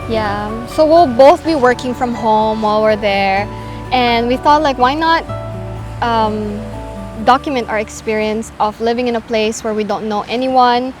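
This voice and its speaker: A young woman chatting casually to camera as a vlogger, full of fillers and quick restarts. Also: fairly high pitch. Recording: music bed underneath.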